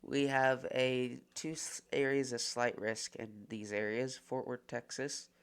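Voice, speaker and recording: A man's voice: mid-range voice, steady tone, smooth. A man with a cold and a stuffed-up voice, reading a casual weather forecast and stumbling over place names. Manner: reads place lists haltingly, stumbles on names.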